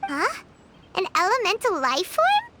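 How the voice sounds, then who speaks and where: A woman voicing a small, excitable, childlike fairy companion in a video game, squeaky and expressive. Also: unhurried, background noise, heavily acted. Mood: surprised. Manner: refers to self in third person, expressive. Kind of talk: video game character acting. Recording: prominent game music behind.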